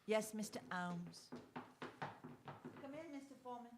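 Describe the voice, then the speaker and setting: A woman's voice: fairly high pitch, lively intonation, a little slow. A woman playing a polite young servant boy in a radio drama, with some noise on the recording. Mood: dutiful. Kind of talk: theatrical radio drama acting.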